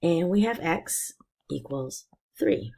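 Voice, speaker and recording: A woman teacher walks through a math lesson patiently, one step at a time. Her voice is low, raspy and gravelly from a cold. Her pitch still moves up and down a lot as she explains. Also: animated, slow pace, warm tone. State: hoarse from illness.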